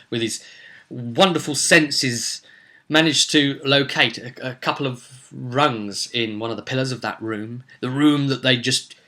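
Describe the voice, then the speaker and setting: A man's voice: mid-range voice, sharp tone. A man recapping a tabletop roleplay session as game master, telling it theatrically with casual asides.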